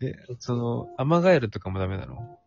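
A man's voice: mid-range voice, sing-song, brisk, darkish tone. A man co-hosting an online radio talk show in a casual two-person chat. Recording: dull lo-fi audio under clear background music. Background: background music.

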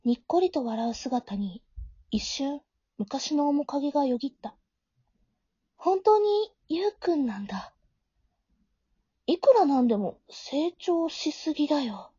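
Woman doing a high mellow schoolgirl voice, flustered but caring, audio drama w/ inner narration, bit muffled lo-fi. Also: big pitch swings, measured pace, pauses often, no room sound. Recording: dull, lo-fi home recording.

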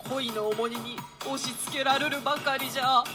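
Radio play: melancholy young lord in love, female actor, high register, plaintive antique declamation, scored with music. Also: fairly even intonation, normal speed, close to an everyday voice. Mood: gloomy, aggrieved. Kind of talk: radio drama in archaic theatrical Japanese.

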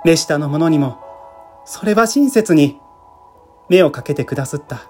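A man's voice: fairly high pitch, animated, unhurried, darkish tone. Man reading as a proud, respectful middle-aged wife. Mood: proud, admiring. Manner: respectful, earnest. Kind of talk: literary reading with acted dialogue. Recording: music bed behind the voice.

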